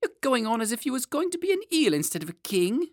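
Storybook nursemaid, played by a man: high, motherly, fussing and fondly scolding, lively intonation. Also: fast talker, dry recording, studio quality, full character voice. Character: fussy, motherly nursemaid woman, affectionately scolding.

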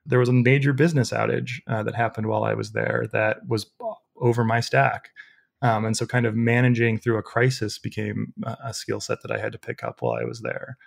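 Gravelly-voiced young man, loud and chatty. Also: fairly low pitch, a little fast. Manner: rambling, many fillers, laughs while telling stories. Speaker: podcast guest. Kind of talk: casual storytelling interview.

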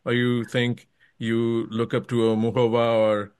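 Male podcast interviewer, medium pitch, very dry recording, casual and digressive delivery with frequent self-qualification. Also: monotone, measured pace, a little mellow, smooth, no room sound.

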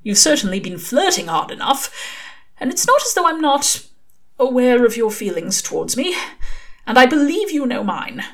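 Fussy prim angel guy, a woman putting him on, earnest and emphatic, voice swoops up high a lot. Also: sing-song, normal speed. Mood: pleading. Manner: emphatic, fretful pleading.

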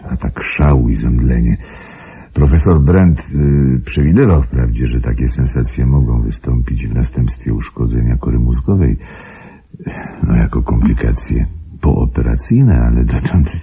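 A troubled, weary husband in a radio drama. His voice is extremely low, very dark and husky, and he speaks in a grave, halting way. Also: heavily acted. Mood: grave, resigned. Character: weary, troubled wealthy husband of a sick wife. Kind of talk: radio play acting.

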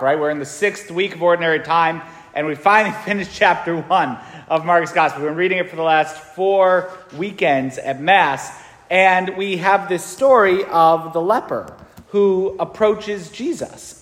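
Chatty priest in echoing church. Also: male voice, high voice, fairly bright tone. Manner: casual, chatty, many fillers and tag questions. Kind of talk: conversational homily. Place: large echoey church. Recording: echoey church with long reverb.

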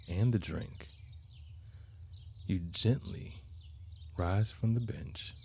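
Man, deep voice, slow pace, soothing guided meditation narration, deliberate phrasing, faint background ambience. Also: dark tone, a little hiss or hum. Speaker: podcast host and meditation guide.

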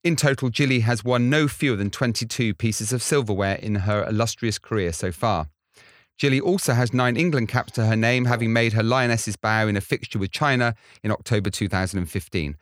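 A man's voice: lowish voice, a little fast, no echo. Male podcast host, close dry sound. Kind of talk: scripted introduction, then conversational interviewing.

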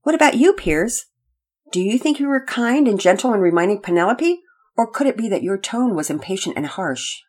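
A woman voicing a gentle, patient father reasoning with his children in a storybook. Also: medium pitch, expressive, normal speed, slightly rough, voice pushed up. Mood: gently correcting.

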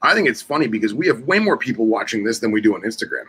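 A man co-hosting a casual podcast, telling anecdotes in a teasing, animated way. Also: fairly low pitch, rapid-fire, breathy edge. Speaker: podcast co-host.

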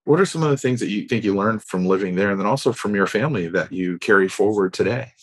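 A man's voice: on the low side, lively intonation, fast, slightly rough. A man hosting a podcast, interviewing in an informal, encouraging, conversational manner. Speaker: podcast host.

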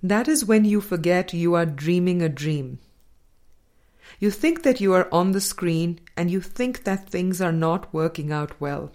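Slow-paced, lower-voiced woman reading aloud. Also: expressive, darkish tone. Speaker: audiobook reader. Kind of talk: scripted reading of a spiritual self-help book.

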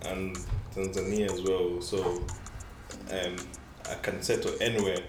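A man's voice: deep voice, level tone, unhurried. A young man from East Africa chatting casually, easygoing and agreeable. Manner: easygoing, agreeable, frequent backchannels. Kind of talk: casual chat. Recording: distant mic in an echoey room with music.

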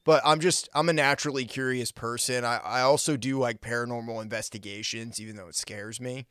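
A man chatting casually and informally, in a halting, hesitant way. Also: medium pitch, steady tone, fairly bright tone, smooth, no echo, clean studio sound. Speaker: prank caller and podcast guest. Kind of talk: casual, hesitant phone chat.

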